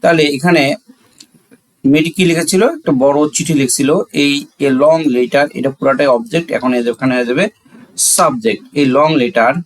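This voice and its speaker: A man's voice: medium pitch, moderate pace, rough recording. Bangladeshi male teacher explaining step by step. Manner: instructional, asks and answers own questions, switches between Bengali and English. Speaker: English grammar teacher. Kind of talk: classroom-style lesson, explaining step by step.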